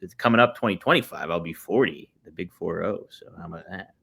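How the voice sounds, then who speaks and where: A man's voice: deep voice, fast, gravelly, a bit bright, husky. A man in his late thirties co-hosting a podcast, chatting casually in an upbeat, encouraging way. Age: 30s. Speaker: podcast co-host.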